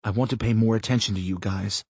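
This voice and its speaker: A man's voice: on the low side, fairly even intonation, fast, a little mellow, husky, dry recording, lo-fi sound. A man voicing a troubled, earnest father who talks in a weary, pleading way in an audiobook.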